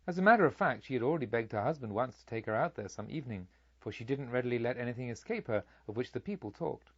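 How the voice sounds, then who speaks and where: A man reading a literary story aloud as an audiobook narrator, in a measured, formal storytelling manner. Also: mid-range voice, breathy edge, no room sound, muffled recording.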